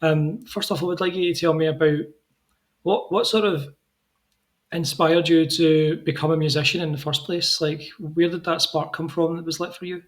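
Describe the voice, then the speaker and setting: Male host, higher voice, chatty and self-deprecating. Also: steady tone, moderate pace. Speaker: podcast host. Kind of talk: casual interview chat.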